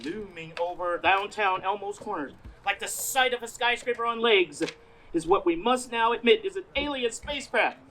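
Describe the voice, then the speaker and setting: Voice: mid-range voice, normal speed, a bit bright, lightly acted. An actor playing a flustered, awestruck radio news reporter who stammers through a melodramatic live report on an outdoor stage. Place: outdoors in a parking lot. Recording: outdoor stage recording, noisy background.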